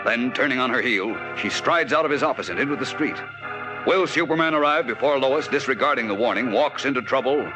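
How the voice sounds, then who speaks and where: A man's voice: medium pitch, fairly quick, over music, rough recording. A man narrating a 1940s radio serial in an urgent, theatrical, suspenseful style, on a vintage broadcast recording. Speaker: announcer and narrator.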